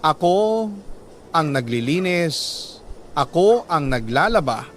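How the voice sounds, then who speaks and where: A man with a Filipino accent reads a scripted first-person story as a radio host, in a solemn, measured and emotive way with dramatic pauses. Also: on the high side, big pitch swings, a little hiss or hum. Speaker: radio story host reading a listener's letter.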